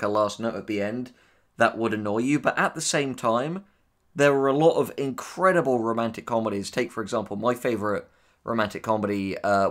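Male co-host, lowish register, measured and articulate, opinionated in casual discussion. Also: a bit bright. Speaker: co-host, audio drama writer.

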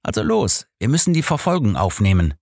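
A man playing a shrewd old detective, pitched up. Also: very animated, a little fast, vocal fry, a little mellow, no echo, clean studio sound, full character voice. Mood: brisk. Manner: analytical, assured, formal. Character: shrewd old male master detective, calm and assured. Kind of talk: audiobook character dialogue.

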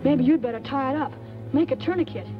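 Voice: high-pitched, fast, natural voice. A child actor playing a chatty, curious small-town American boy in a 1940s film. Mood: fascinated concern. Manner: inquisitive, chattering, plain-spoken. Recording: muffled old film soundtrack, music behind.

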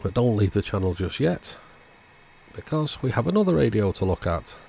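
A man calmly narrating a radio repair at his workbench in a matter-of-fact way. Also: lowish voice, moderate pace, creaky, slight background noise. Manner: relaxed, matter-of-fact commentary while working. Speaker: electronics repair channel host. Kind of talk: narrated bench repair tutorial. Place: workbench indoors.

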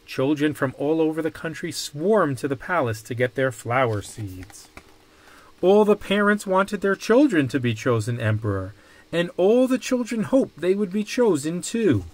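A man reading a children's picture book aloud with deliberate, dramatic phrasing. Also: fairly high pitch, very animated, a little mellow. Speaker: storybook reader.

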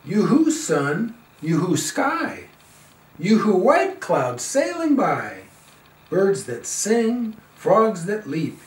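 An older man, around seventy, reading a children's picture book aloud in an expressive, singsong and playful way. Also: high-pitched. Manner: slow, singsong, playful calls with quieter asides. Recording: roomy, a little far from the mic.

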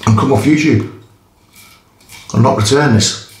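Middle-pitched Geordie man in his fifties, easygoing shaving vlogger, roomy bathroom sound. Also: darkish tone. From Newcastle area, England. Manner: chatty, stop-start, pauses between phrases. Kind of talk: casual chat while shaving. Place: small tiled bathroom. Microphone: camera in front of face. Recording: echoey bathroom, faint noise.

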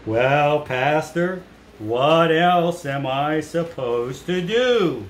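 A man voicing a distracted, apologetic male puppet with a drawn-out, sighing, hapless delivery. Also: on the high side, animated. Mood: dismayed. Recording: roomy echo with some background noise.

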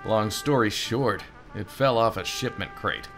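A man voicing a gruff, evasive male captain in game dialogue, deadpan and dismissive. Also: on the low side, a little slow, soft background music. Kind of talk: game dialogue read aloud.

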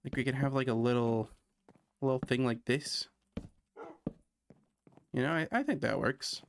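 Chatty Canadian gaming streamer. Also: male voice, medium pitch, unhurried, slight background noise. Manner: chatty, self-deprecating, thinking aloud. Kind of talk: casual gameplay commentary.

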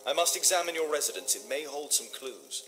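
An actor playing a composed Victorian master detective in a video game, formal, clipped and coolly inquisitive. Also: male voice, fairly high pitch, lively intonation, fairly quick, music in the background, natural voice. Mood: probing. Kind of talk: video game dramatic acting.

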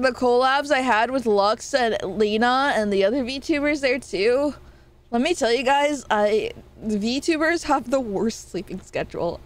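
A young woman streamer with a nasal voice, chatting casually and playfully with her viewers. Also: fairly high pitch. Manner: rambling, playful, quick laughs and swearing. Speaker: VTuber streamer.

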